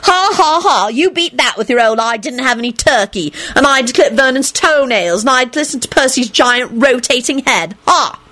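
A woman's voice: sits high, animated, normal speed, hardly any pauses, pushed and bright, pitched above the performer's own voice, heavily acted. A woman voicing a gleeful, teasing woman, shrieky and triumphant, in storybook dialogue. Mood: ecstatic gloating. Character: gleeful, teasing woman, mock-sweet and gloating. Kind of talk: audiobook-style character dialogue.

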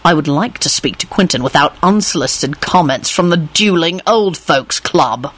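A woman's voice: low voice, animated, fairly bright tone, slightly rough, exaggerated voice. A woman voicing a spiteful, stubborn man who snarls with cold sarcasm. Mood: cold anger. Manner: snarling, nasty, drawn-out delivery.

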